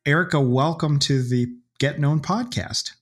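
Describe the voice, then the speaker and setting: A man hosting a podcast interview, introducing his guest warmly with a few ums. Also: a little high, unhurried. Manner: friendly introductions, some hesitation fillers. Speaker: podcast host.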